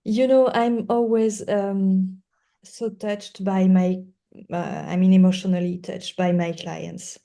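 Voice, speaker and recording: A woman speaks as an interview guest in English that is not her native language. Her delivery is slow and halting, with earnest repetition for emphasis. The tone is mellow and relaxed, at a middling pitch for a woman. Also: creaky. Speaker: guest lawyer. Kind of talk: conversational podcast interview.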